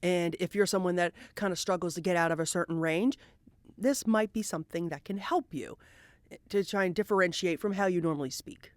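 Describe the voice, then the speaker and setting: A woman's voice: fairly low pitch, brisk, no echo. A woman giving a casual, encouraging tutorial to viewers as a voice actor, demonstrating as she explains. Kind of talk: casual instructional talk to viewers.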